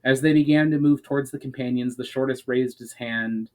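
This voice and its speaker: A man's voice: mid-range voice, steady tone, fairly quick, darkish tone. A man reading his own fantasy story aloud as a dramatic narration.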